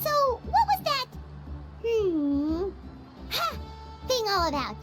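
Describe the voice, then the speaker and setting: Tiny fairy girl, squeaky high, chirpy, wildly animated, anime game companion, loud music behind. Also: slow pace, exaggerated voice. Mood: curious. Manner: excitable, speaks of herself in third person. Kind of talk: anime video game voice acting.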